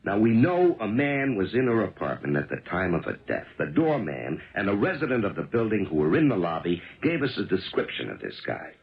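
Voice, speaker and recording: An American actor playing a plodding, plain-spoken homicide detective and husband in a 1970s radio drama. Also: male voice, on the low side, huge pitch swings, a little fast, few pauses, slight background noise. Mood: defensive, exasperated. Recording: muffled old radio broadcast.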